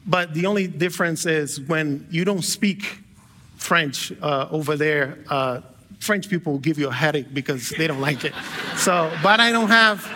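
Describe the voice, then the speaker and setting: Bright-voiced Brazilian-born man preaching. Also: fairly high pitch, normal speed, a little hiss or hum. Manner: conversational with light humor and frequent self-corrections. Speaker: guest pastor. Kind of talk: sermon. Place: church sanctuary with a live congregation.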